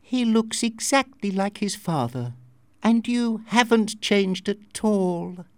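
A flirtatious woman of storybook fiction , acted out by a man, speaks in a high, swooping voice. She is theatrical and coy, drawing out her words to win pity. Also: animated, unhurried, no room sound. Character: flirtatious, self-pitying adventuress Becky, a grown woman.

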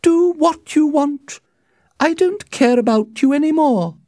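A bossy cold rich mom in a storybook, super high voice, really emphatic. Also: wildly swooping pitch, slow pace, a little mellow, no echo, studio quality, heavily acted. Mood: cold, dismissive. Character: cold, domineering noble mother.

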